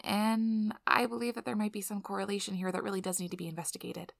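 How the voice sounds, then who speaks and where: A woman playing a nervous young female PhD researcher in an ASMR role-play, flustered, halting and apologetic, with breathy whispered asides. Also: mid-range voice, fairly even intonation, no echo, studio quality, natural voice. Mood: earnest, absorbed.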